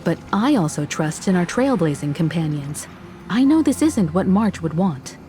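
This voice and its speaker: A woman playing a gentle, caring crew leader in a video game, sincere and reassuring. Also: lowish voice, very animated, moderate pace, soft background music. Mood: concerned. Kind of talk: video game character voice acting.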